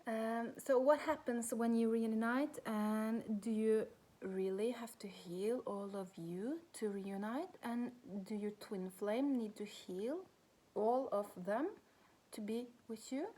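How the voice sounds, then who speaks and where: A woman in her thirties from Norway, speaking English with a Norwegian accent as a second language, talking hesitantly and softly in a personal vlog. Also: a little high, slow. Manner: hesitant, searching for words, soft. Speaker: spiritual coach.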